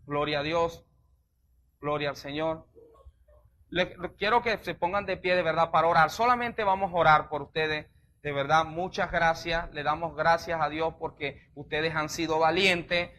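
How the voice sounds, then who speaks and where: Fervent, bright-voiced male pastor. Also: fairly high pitch, normal speed. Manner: fervent, leads the church in repeated prayer. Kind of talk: sermon altar call and prayer.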